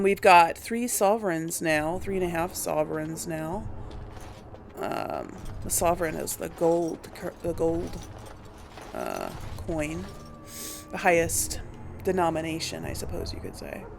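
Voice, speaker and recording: A woman's voice: lowish voice, vocal fry, a little hiss or hum. A woman hosting a gaming video, giving casual playthrough commentary and musing aloud hesitantly.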